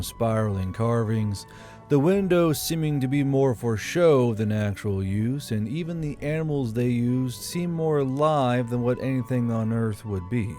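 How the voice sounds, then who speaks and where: A male narrator reads a story in a dark, mellow voice at a medium pitch. The delivery is scripted audiobook narration, with music underneath.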